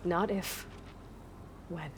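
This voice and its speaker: A young woman playing a determined, protective huntress and leader in a video game, speaking in a firm, hushed, resolute way. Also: fairly low pitch, steady tone, extremely slow, a little hiss or hum. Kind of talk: cinematic video game voice acting.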